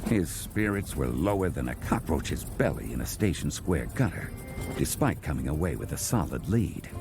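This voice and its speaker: An extremely deep, creaky hardboiled detective, narrating a noir cartoon drama with wry, brooding weariness. Loud music runs behind the voice. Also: male voice, sing-song, moderate pace, heavily acted. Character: world-weary hardboiled male detective narrator, noir style.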